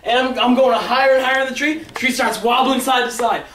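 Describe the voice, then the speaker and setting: A man telling comic stories in an animated, excitable, rambling way with dramatic build-ups. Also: very high voice, fast, slight background noise. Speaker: storyteller. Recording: roomy echo, far from the mic.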